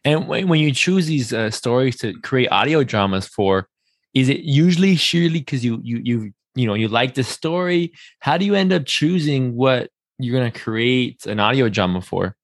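A man's voice: medium pitch, animated, moderate pace, no room sound, clean studio sound. A man hosting a podcast interview, asking questions in an informal, readily impressed way.

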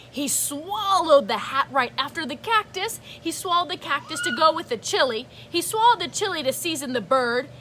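A woman reading a rhythmic picture book aloud to children like a teacher, animated and sing-song, with asides to her listeners. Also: high-pitched, background noise. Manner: animated, sing-song, drawn-out exclamations and gasps; engaging listeners with asides and prompts. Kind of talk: rhythmic storybook read-aloud.

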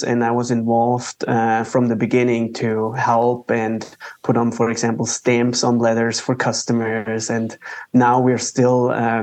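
Man speaking English, casual. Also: mid-range voice. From Switzerland. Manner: relaxed, conversational, with small grammar slips. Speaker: guest entrepreneur. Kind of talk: interview answers.

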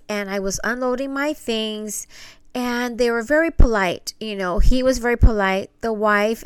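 A woman with a fairly high, clean and smooth voice shares personal stories as a host. Her delivery is casual and chatty, excitable and wandering as she goes. The sound is close and dry. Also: no room sound. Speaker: host telling wine steward stories.

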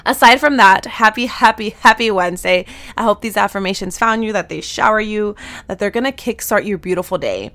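A woman in her thirties hosting a solo podcast, bubbly and affirming, her voice slightly nasal and raspy today. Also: mid-range voice, expressive, brisk, fairly bright tone. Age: 30s. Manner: bubbly, affirming, with slang and laughs. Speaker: podcast host and soul mentor. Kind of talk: upbeat solo podcast talk.

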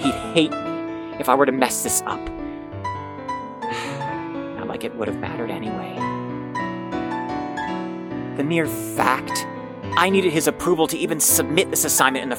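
A man's voice: medium pitch, natural voice. A man playing an anxious, self-critical young heir, delivering a wordy, introspective monologue in an audio drama. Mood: anxious self-reproach.

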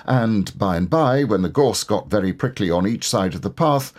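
A man reading a children's storybook aloud as an expressive, scripted narrator. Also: lowish voice, moderate pace. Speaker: storybook reader.

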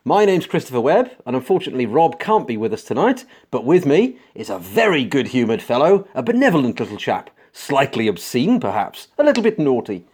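Man, podcast host, lively pitch swings, playful banter. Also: brisk, hardly any pauses, slightly rough. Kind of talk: casual chat.

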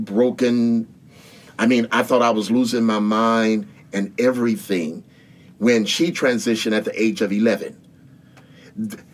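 A man in his sixties talks about spiritual matters in an unhurried, measured way. His delivery is earnest and preacherly, and his pitch sits in a middle range. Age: 60s. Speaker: guest. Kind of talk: spiritual interview talk.

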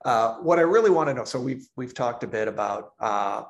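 A man's voice: medium pitch, expressive, fairly quick, lo-fi sound. Friendly male podcast host who laughs often. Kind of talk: casual interview conversation.

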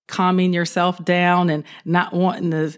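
A woman police officer telling an animated story in an interview, acting out what she said at the time. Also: fairly low pitch, measured pace, smooth. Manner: animated, acts out her own quotes.

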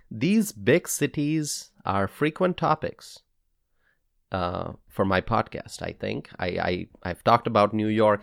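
A man with a Bangladeshi accent hosting a solo political podcast, speaking in a deliberate, emphatic way and repeating phrases for weight. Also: deep voice, creaky, fairly bright tone, no room sound, studio quality.

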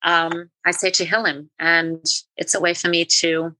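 A middle-aged woman co-hosting a podcast, speaking in an earnest, enthusiastic way. Her voice sits a little low but has a bright, forceful edge. It is recorded dry and close, with no room sound. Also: little pitch movement, unhurried, no room sound, poor audio. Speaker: podcast co-host. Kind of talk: casual conversation.

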